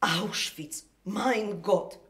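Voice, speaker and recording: An actress playing a distraught, scornful wife in a radio drama, speaking with theatrical emotion. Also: female voice, mid-range voice, very slow, fairly bright tone. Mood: bitter, subdued. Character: distraught, scornful German wife pleading with her scientist husband. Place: live performance inside a wooden barn. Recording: echoey barn stage recording.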